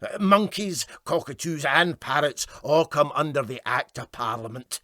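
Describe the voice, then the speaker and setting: Self-righteous Scottish engineer, acted by a man, emphatic, needling, raised pitch, lively comic storybook delivery. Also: normal speed, dry recording, studio quality. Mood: scornful amazement. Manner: emphatic, scornful. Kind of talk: comic storybook character reading.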